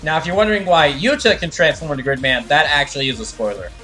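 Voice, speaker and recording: A man's voice: fairly high pitch, quick pace, bright, forceful tone. A man giving casual livestream commentary on a video game, rambling enthusiastically as he explains lore. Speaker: streamer doing live fan dubs of a video game. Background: music.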